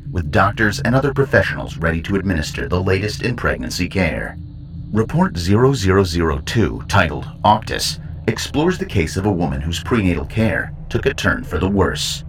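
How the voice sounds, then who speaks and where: A man narrating a scripted horror report in a formal, measured manner. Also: sits low. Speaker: narrator and host.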